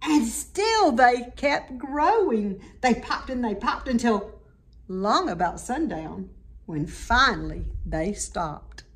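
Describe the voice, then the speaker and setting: Animated, high-voiced woman reading a storybook. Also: slow. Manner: dramatic, emphatic storytelling. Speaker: storybook reader. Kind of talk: expressive read-aloud of rhyming children's story.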